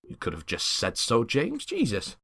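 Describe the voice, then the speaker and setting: A man's voice: medium pitch, huge pitch swings, normal speed, gravelly, a bit bright, no room sound, studio quality, pitched above the performer's own voice, full character voice. A man voicing an easygoing suburban husband in his late thirties, casual and breezy, in a dramatic script reading. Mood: sulky.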